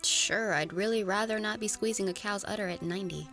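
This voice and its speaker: A woman playing a confident, teasing young farm woman in love in an animated drama, playful and possessive. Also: medium pitch, a little fast, music in the background, natural voice. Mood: light, wry. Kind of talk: naturalistic animated series acting.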